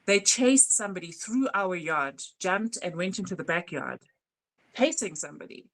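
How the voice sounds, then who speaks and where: South African woman, lowish voice, animated. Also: moderate pace, low-quality recording. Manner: animated storytelling with isiZulu words mixed in. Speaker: podcast co-host. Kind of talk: casual chat.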